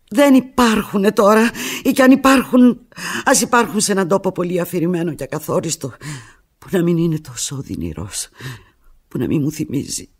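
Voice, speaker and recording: An actress playing an anguished, lovelorn woman pleading with her departing lover in a halting, volatile radio theatre monologue. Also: medium pitch, slightly rough. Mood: hesitant pleading.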